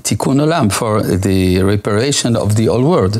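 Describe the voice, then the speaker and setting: A man, a rabbi, speaking in a measured, explanatory way in a documentary interview. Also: medium pitch, expressive, a little mellow.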